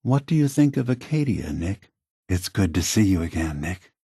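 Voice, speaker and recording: A weary android elder statesman from a video game. The voice is low and husky, recorded very dry. He speaks slowly and thoughtfully, with a gentle, deliberate calm. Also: dry recording, full character voice. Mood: gentle, warm. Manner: measured, deliberate, gentle, reflective. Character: calm, weary, philosophical male android leader.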